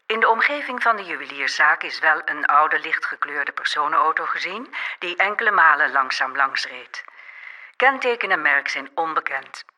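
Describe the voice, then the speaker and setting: A formal male newsreader delivers a bulletin in an impersonal manner. The voice is fairly high and extremely bright, coming through a radio. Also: animated, moderate pace, rough recording. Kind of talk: formal scripted news reading.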